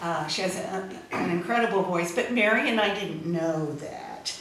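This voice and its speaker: A woman's voice: on the low side, normal speed. A woman telling an informal, rambling anecdote as a speaker at a memorial gathering. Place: back room at a memorial gathering. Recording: echoey noisy room, far from mic.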